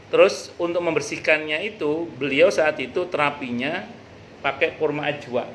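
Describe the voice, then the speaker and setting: Islamic teacher, male, middle-aged. Forceful bright tone, conversational and jokey, halting in places, slightly noisy live recording. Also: a little high. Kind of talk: informal religious study talk with anecdotes and read-out passages. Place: a private home hosting a study gathering.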